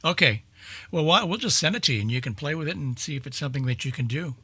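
A man's voice: on the high side, expressive, fast talker, sharp tone, slightly rough, no echo. A man chatting casually about a hobby, as a participant in an informal radio talk. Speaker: old-time radio show participant discussing lost shows. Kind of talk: casual chat.

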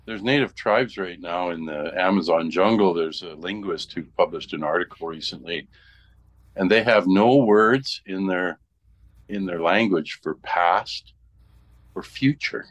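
Low-voiced man musing in conversation. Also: measured pace. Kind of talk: casual group chat.

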